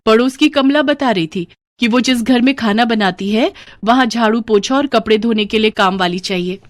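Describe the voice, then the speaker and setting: A woman's voice: a little high, moderate pace, dry recording, clean studio sound, lightly acted. A woman voicing a humble, hopeful young maid who speaks respectfully. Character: hardworking cheerful young maid.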